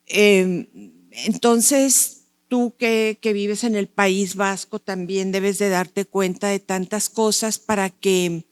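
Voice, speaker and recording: This woman speaks slowly, taking her time over each idea. Her voice is smooth and clear, with a mid pitch. She moderates a literary panel in a thoughtful, measured way. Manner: measured and reflective, with occasional fillers. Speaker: moderator and publisher. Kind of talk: panel discussion.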